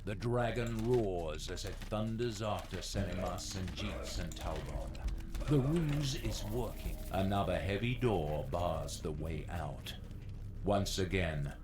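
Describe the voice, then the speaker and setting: A man's voice: deep voice, animated, slow pace, background noise. A man playing a dungeon master, narrating a fantasy game adventure in a dramatic, portentous storytelling manner. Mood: ominous, suspenseful.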